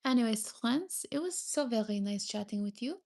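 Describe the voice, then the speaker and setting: A woman's voice: fairly high pitch, expressive, moderate pace, a little mellow, a little hiss or hum. A young woman chatting casually on a live stream, reading viewer comments and thanking them often, with frequent hesitations. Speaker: livestreamer.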